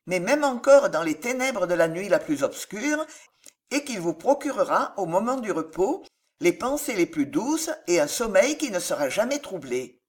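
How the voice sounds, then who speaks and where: Storybook role: fervent, eccentric preacher-like man, grave and declamatory, very animated pitch female narrator performing. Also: moderate pace, close to an everyday voice. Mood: solemn, questioning. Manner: exhorting, declamatory. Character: solemn, impassioned moralizing eccentric man begging for the poor. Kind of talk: audiobook character voice.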